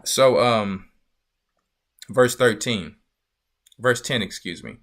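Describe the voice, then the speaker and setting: A man's voice: on the low side, animated, slow pace, a bit bright. A man teaching a Bible lesson as a church elder, emphatic and repetitive, often asking listeners if they see his point. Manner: emphatic, repeats phrases and asks listeners "you see". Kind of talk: sermon-style Bible teaching.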